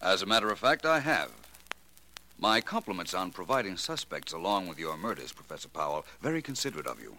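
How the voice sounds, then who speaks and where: An actor playing an urbane, confident private detective in 1940s radio style, polished and wry, on a noisy old recording. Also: male voice, low voice, sing-song, fairly quick, sharp tone, full character voice. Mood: cool challenge. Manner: polished, self-assured, wry.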